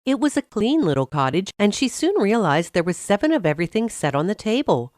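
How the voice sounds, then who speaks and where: A woman narrating a scripted fairy tale for children, expressive and carefully phrased. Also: mid-range voice, brisk, no echo, clean studio sound. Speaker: storyteller.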